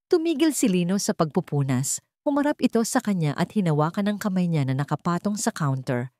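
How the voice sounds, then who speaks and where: A woman reads a dramatic love story as its narrator. Her voice is low and soft-edged, with widely moving intonation, in a very dry recording. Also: big pitch swings, fairly quick, dry recording.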